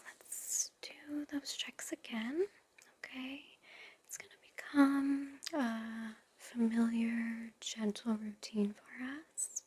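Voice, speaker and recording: A woman playing a gentle, attentive nurse in an ASMR roleplay, speaking in a breathy, reassuring whisper. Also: on the high side, steady tone. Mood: gentle, soothing. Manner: slow, soothing, reassuring. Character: gentle, soothing, attentive female recovery nurse. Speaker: ASMR performer.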